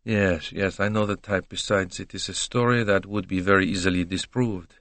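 A man's voice: low-pitched, fairly even intonation, normal speed, fairly bright tone, dry recording. A man voicing a polite, composed detective who questions formally and dryly in an audiobook mystery. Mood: assured. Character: courteous, probing Belgian detective, dry and composed.

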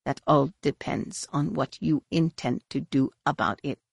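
A woman's voice: sits low, fairly even intonation, unhurried, creaky, slightly rough, no room sound, studio quality, full character voice. Woman playing a menacing, taunting male fanatic. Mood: cryptic. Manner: hissing, taunting. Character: menacing male hostage-taker, cryptic fanatic.

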